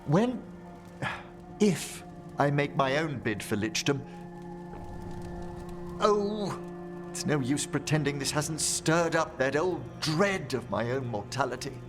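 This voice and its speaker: Older scholarly necromancer, game character, formal, eloquent, anxious, highish animated voice, music behind. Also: male voice, slow, long pauses. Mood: anxious, brooding. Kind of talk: video game voice acting.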